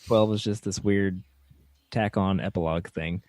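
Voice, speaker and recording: A man co-hosting a casual podcast, wry and quick with jokes. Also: low voice, steady tone, a little mellow, dry recording. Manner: wry, joking, quick quips. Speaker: podcast co-host.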